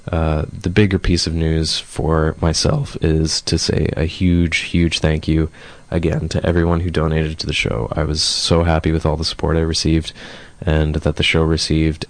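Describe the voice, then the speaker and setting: Brief: hoarse radio host, man, very low voice, dry studio sound, steady news reads and relaxed interview chat. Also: no echo. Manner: reads news steadily, then chats with fillers. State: hoarse from a cold.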